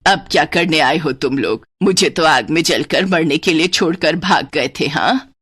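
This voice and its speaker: A woman's voice: low voice, normal speed, fairly bright tone, breathy edge, pitched below the performer's own voice. A woman voicing a harsh, domineering older mother-in-law in a moral cartoon story, scolding and proud. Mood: scornful. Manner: scolding, commanding, taunting.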